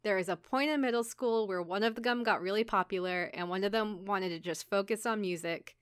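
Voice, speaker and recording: A woman's voice: mid-range voice, brisk, clear, resonant tone, no echo, clean studio sound. A woman podcast host giving a casual, chatty recap, informally acting out bits of dialogue with wry commentary. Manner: informal, acts out characters' lines in passing.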